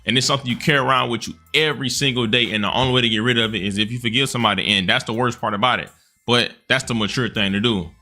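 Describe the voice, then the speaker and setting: Fast, forceful male commentator. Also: mid-range voice, fairly even intonation. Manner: emphatic, slangy, earnest. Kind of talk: casual opinion commentary with personal anecdotes.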